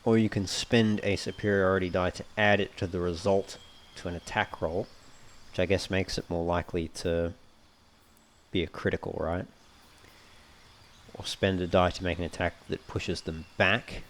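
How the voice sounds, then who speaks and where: Low-voiced man narrating a video game. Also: little pitch movement, normal speed, long pauses, slight background noise. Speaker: gamer narrating a playthrough. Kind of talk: casual let's play commentary, often reading game text aloud.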